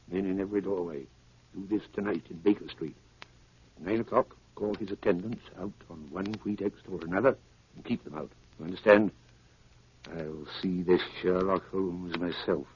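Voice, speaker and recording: Actor as a deep-voiced, menacing criminal mastermind. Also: male voice, fairly even intonation, darkish tone, close to an everyday voice. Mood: commanding. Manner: deliberate, ominous, commanding. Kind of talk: 1930s radio acting. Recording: dull, hissy 1930s radio broadcast.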